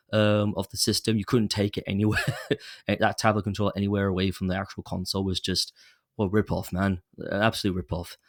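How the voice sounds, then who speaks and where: A man's voice: low-pitched, no echo, studio quality. A young man recovering from a cold, chatting casually and rambling with lots of fillers as a podcast host. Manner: rambling, many fillers and restarts. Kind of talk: casual solo chat.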